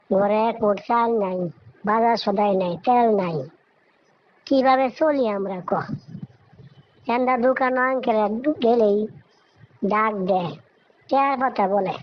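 A woman's voice: on the high side, takes it slowly, pauses often. A woman acting a worried, plaintive village sister. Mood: worried, lamenting. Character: poor, worried older sister, weary and caring. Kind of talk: Bengali moral story cartoon dialogue. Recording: dull, noisy lo-fi recording.

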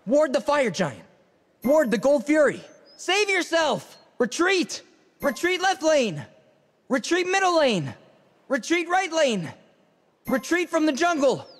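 A playful, cocky young street-artist fighter from a video game. His male voice sits extremely high and delivers brash, teasing callouts at an unhurried pace, with a long reverb around it. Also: full character voice. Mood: urgent. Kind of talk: video game character voice lines, short callouts.

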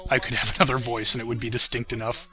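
Male commentator, mid pitch with a bright edge, casual and self-deprecating. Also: little pitch movement, racing, rough recording. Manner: self-deprecating, chuckling, swearing. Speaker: creator commenting on own parody series.

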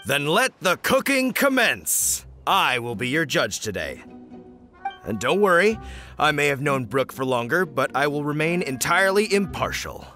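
A genial, courteous contest judge in a video game. His mid-pitched voice is bright and animated, with a grand formal delivery and faint music behind. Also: moderate pace, heavily acted. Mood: ceremonious. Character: genial, formal adult villager judging a contest.

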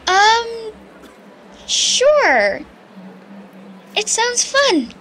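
A woman playing a shy, very high young woman. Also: big pitch swings, drawn-out words, a bit bright, a little hiss or hum, full character voice. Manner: hesitant, unsure.

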